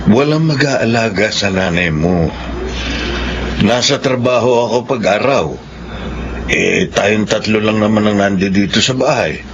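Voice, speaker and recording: A man's voice: fairly low pitch, measured pace, pauses often. An actor playing a hardworking, stern village father in a radio drama, speaking seriously and plainly. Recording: muffled recording with clear background noise.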